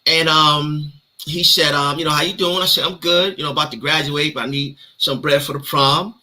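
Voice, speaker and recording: A man's voice: a little high, normal speed. A man in his thirties from New York with a New York accent, casually telling his life story in an interview with streetwise slang. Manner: streetwise slang, animated retelling.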